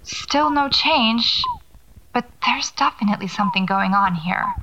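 A woman's voice: a little high, lively intonation, a little slow, background noise. A woman acting in an audio drama as a wry horror novelist who records understated, musing field notes to herself while out alone at night. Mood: uneasy, baffled. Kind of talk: naturalistic audio fiction monologue, spoken field notes. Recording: dull lo-fi cassette-style tape.

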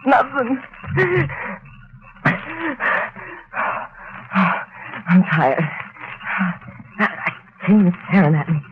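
An actor playing a frightened, simple-minded henchman on the run, pleading and stammering in broken grammar, in old-time radio drama style. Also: sits high. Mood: desperate, fleeing. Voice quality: whimpering, stammering, ungrammatical pleading. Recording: hissy, muffled vintage radio recording.

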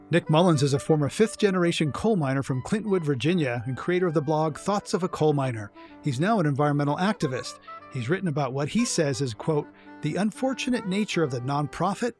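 A man hosting a radio interview show, asking questions and reading scripted links in a measured, unhurried way. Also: fairly high pitch.

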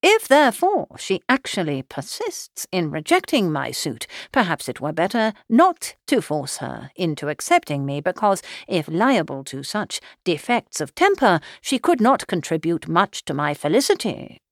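A woman voicing a pompous, long-winded, self-regarding gentleman suitor in a classic novel. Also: lowish voice, very animated, normal speed, few pauses, no room sound, clean studio sound. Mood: self-important. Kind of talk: audiobook character voice, classic novel.

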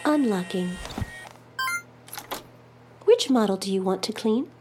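A woman's voice: mid-range voice, expressive, takes it slowly, noisy background. A woman voicing an obedient home artificial intelligence in a sci-fi audio drama, with clipped, formal announcements. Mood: neutral, helpful. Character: obedient home AI with a dead wife's voice.

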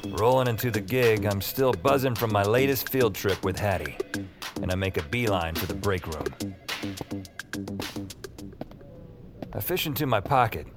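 A man's voice: on the low side, expressive, moderate pace, music in the background. An actor playing a wry, awkward man who takes calls, in slangy audio drama narration and dialogue. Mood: wry, upbeat. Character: wry, awkward male call-taker new to a magical world.

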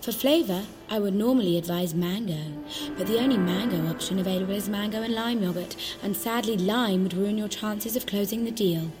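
A woman's voice: medium pitch, close to an everyday voice. A woman playing a precise, formal guiding voice that lives inside someone's head in an audio drama. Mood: calm, instructive. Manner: formal, measured, instructing. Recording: music behind the voice. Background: music.

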